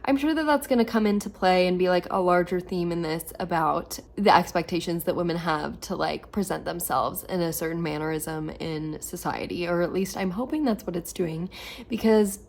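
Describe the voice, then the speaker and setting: A woman in her twenties chatting casually at home about books, a little hoarse from losing her voice and filling her talk with "like". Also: on the low side, moderate pace, a little mellow. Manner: chatty, lots of "like" fillers. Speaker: book vlogger. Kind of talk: casual reading vlog.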